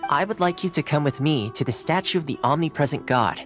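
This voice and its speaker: A man's voice: fairly high pitch, animated, fast talker, fairly bright tone. A man voicing a friendly young man who speaks through a dog, teasing at first and then earnest. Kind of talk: video game dialogue. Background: game music.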